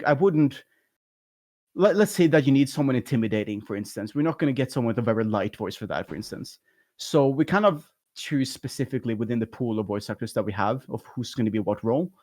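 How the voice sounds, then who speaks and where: A man's voice: medium pitch, darkish tone. A man with a light voice, a talkative guest in a casual interview who rambles and laughs often. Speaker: guest, YouTube content creator.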